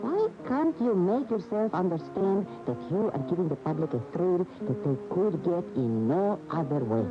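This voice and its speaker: Radio drama: exasperated, persuasive money man, lively pitch, dull AM sound. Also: normal speed, few pauses. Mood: insistent, persuasive. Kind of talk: 1980 radio drama acting. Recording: muffled 1980 AM radio, guitar music.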